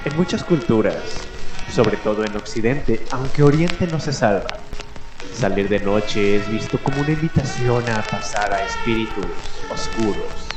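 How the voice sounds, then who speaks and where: A man's voice: fairly low pitch, expressive, moderate pace. Echoey man hosting late-night mystery radio. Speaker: radio show host. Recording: echoey voice over background music. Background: music.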